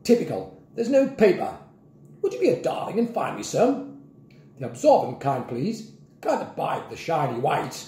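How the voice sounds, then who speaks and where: A man's voice: fairly high pitch, huge pitch swings, normal speed, warm tone, echoey room. A man voicing a haughty, fussy old woman, prim and complaining, in an audiobook story. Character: haughty, fussy old lady, imperious and unhurried.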